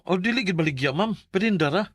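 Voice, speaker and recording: A man's voice: high voice, expressive, quick pace, a bit bright, breathy edge, no room sound, studio quality, full character voice. An actor with a Cebuano Filipino accent playing a troubled, earnest man pleading for his land in a radio drama. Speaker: letter writer in a radio drama. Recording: music bed under the voice.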